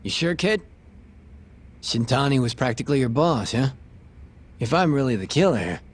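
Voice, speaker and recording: A man's voice: medium pitch, animated, normal speed, lightly acted. An actor playing a shady, sarcastic male police detective with a streetwise manner in an English video game dub. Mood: sardonic. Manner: sarcastic, streetwise, foul-mouthed.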